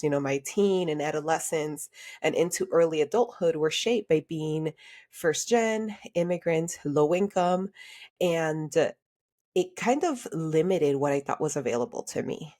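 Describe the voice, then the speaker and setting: A woman with a slightly low voice talks as a guest on a podcast. She grew up in Honduras and then the United States, and she tells personal stories in a candid, reflective, conversational way. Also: mellow. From Honduras until age eight, then United States. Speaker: podcast guest, career coach.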